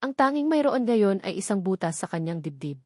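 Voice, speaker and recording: A woman reading a dramatic love story as a steady, scripted narrator. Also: mid-range voice, fairly quick, no room sound, studio quality.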